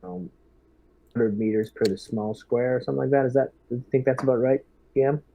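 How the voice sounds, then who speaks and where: A man playing a tabletop roleplaying game, talking through tactics casually in a measured, halting way. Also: mid-range voice, gravelly, dark tone. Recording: dull lo-fi voice-chat audio.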